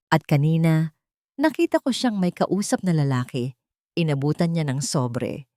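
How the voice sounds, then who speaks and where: Woman acting as troubled wife, audio drama diary entry. Confiding, urgent, lowish voice with lively intonation. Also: moderate pace, no room sound, clean studio sound. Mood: uneasy, suspicious. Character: uneasy, suspicious wife writing in her diary.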